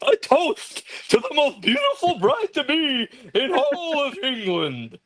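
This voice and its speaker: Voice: high-pitched, huge pitch swings, measured pace, a bit bright, full character voice. A performer voicing a pompous, haughty medieval nobleman in a comedic script read, with a theatrical, blustering delivery. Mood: grand, indignant.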